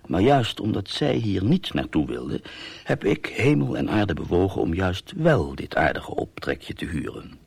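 A man's voice: deep voice, very animated, unhurried, darkish tone, no echo, heavily acted. A man playing a scheming, sardonic husband who narrates a radio drama with dry irony and mock affection. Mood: wry, scheming. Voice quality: gravelly, husky.

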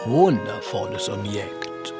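An actor playing a grumpy, blustering British gentleman collector in a radio play, blunt and exclamatory with aristocratic airs. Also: fairly low pitch, expressive, slow pace, music in the background. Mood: enthusiastic. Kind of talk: German radio play acting.

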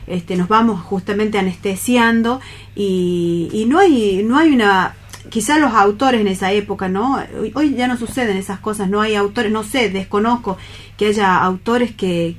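A woman with an Argentine accent talking earnestly and haltingly in a reflective radio discussion. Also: medium pitch. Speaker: panelist on a radio theatre programme.

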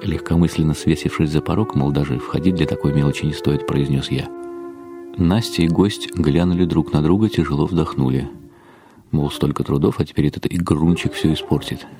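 A man narrating a first-person radio drama in an expressive, confiding, slightly wry way. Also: rumbling low, brisk, over music. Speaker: narrator and lead actor.

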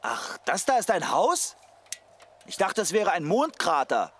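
A man's voice: sits high, very animated, unhurried, fairly bright tone, heavily acted. An actor playing a hapless, grumbling man in a German radio drama, hesitant and dryly sarcastic. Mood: polite, calling out. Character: hapless, grumbling male client on an absurd quest.

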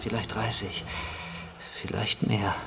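A man's voice: fairly low pitch, little pitch movement, slow, gravelly, background noise. A man playing a level-headed, practical survivor in a horror radio drama, talking in terse phrases. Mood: tense, hushed. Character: level-headed male survivor guiding companions through tunnels.

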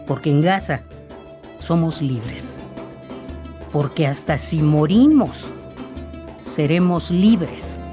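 Low-voiced woman reciting over guitar music. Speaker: narrator of a radio capsule. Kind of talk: poetic scripted solidarity narration over music.

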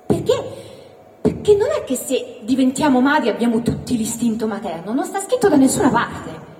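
A woman's voice: high-pitched, sing-song, moderate pace, darkish tone. A woman performing a provocative stand-up monologue in a mock-earnest, rhetorical manner. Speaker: stand-up comedian. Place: live indoor theatre venue. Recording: echoey hall, distant mic, faint noise.

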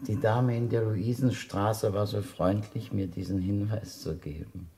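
A man's voice: on the low side, steady tone, unhurried, vocal fry, dark tone. An actor playing a polite, persistent blind man with a guide dog in a radio play, courteous and hesitantly apologetic. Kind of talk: German radio play acting. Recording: reverberant stairwell acoustic.

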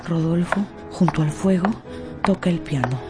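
A woman's voice: on the low side, normal speed, loud music behind the voice. A woman narrating a radio drama from a script in a theatrical, emotive storytelling manner.

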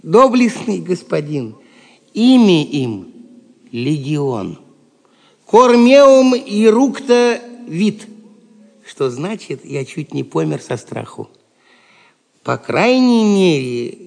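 An actor playing a wry, loyal jester who poses as a poor monk in an audio drama, mock-solemn and playful. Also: male voice, a little high, big pitch swings, takes it slowly, gravelly, darkish tone, exaggerated voice. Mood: mock humble.

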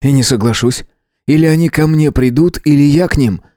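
Man voicing a shrill, panicky Earthman. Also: fairly quick, mellow, dry recording, clean studio sound, natural voice. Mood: hysterical. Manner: shrill, pleading, rising to squeals. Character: agitated frightened male Earthman, near hysterical.

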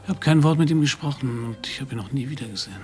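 A man's voice: medium pitch, very fast, a little mellow, close to an everyday voice. An actor as a brooding, bitter man in grief. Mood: gloomy, subdued. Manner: brooding, weary, sardonic. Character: bitter, grieving Danish man whose dog was stolen. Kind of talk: German radio play acting.

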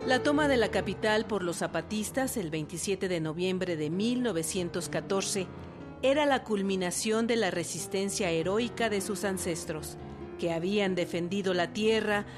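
A woman's voice: medium pitch, normal speed, over music. A woman delivering scripted historical narration for radio.

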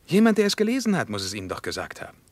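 An actor playing a sceptical West German individualist in a radio play, probing and arguing insistently. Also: male voice, on the high side, huge pitch swings, very fast, no echo. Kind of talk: mid-20th-century German radio play dialogue.

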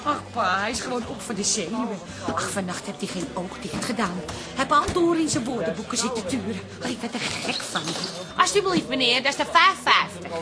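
A woman acting a brisk, down-to-earth landlady in a radio comedy, fond but bossy and quick to exclaim. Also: a little high, expressive, over music, lightly acted. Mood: brisk, busy.

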